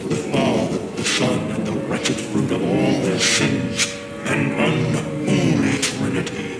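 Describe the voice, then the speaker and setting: A deep, gloating devil of a father, grandiose and blasphemous. His pompous game-villain speech comes very slowly, with loud music behind it. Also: gravelly. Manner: slow, pompous, theatrical. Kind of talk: dramatic video game villain acting.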